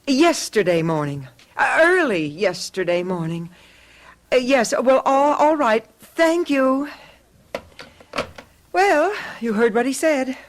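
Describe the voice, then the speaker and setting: An actress playing an anxious housewife in a radio drama, fretful and hesitant but insistent. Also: female voice, high voice, animated, slow. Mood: worried. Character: anxious housewife troubled by a feeling about missing friends.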